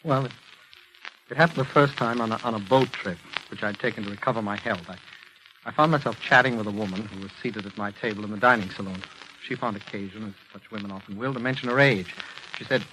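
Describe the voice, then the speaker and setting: Haunted, tormented male patient, halting and intense, vintage radio melodrama, noisy old recording. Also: lowish voice, pushed and bright. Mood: haunted, brooding. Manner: intense, halting, agonized delivery. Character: haunted, anguished man cursed to speak the truth. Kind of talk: 1940s radio acting.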